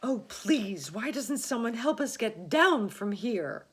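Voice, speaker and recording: A woman's voice: fairly high pitch, big pitch swings, measured pace, slightly rough, pitched above the performer's own voice, full character voice. Miss Spider, a woman doing a fretful spider lady. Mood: pleading. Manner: pleading, flustered. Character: fretful female spider, large.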